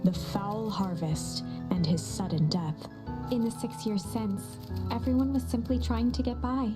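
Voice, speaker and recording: A woman playing a dramatic, playful storybook narrator in a video game, with a coy, deliberate delivery. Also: mid-range voice, lightly acted. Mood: measured storytelling. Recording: music under the voice. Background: guitar and instrumental music.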